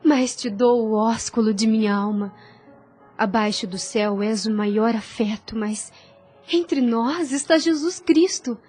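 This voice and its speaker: Soft-toned, husky, devout young woman acted out. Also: on the high side, measured pace, soft background music. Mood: sorrowful, resolute. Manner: lofty, formal, earnest delivery. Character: devout young woman, tender, self-denying, resolute. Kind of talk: solemn audio drama acting of a religious novel.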